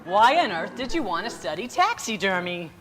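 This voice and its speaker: A woman's voice: medium pitch, very animated, normal speed, crowd noise, natural voice. A woman playing a sensible, exasperated, long-suffering wife in an old-time radio comedy, scolding with dry patience. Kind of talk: 1940s radio comedy acting. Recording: roomy hall reverb, noisy background.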